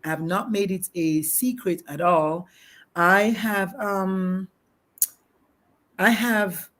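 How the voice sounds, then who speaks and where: A woman with a Nigerian accent hosting a live interview, talking in an excitable, rambling, effusive way. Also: mid-range voice, very slow. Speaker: host.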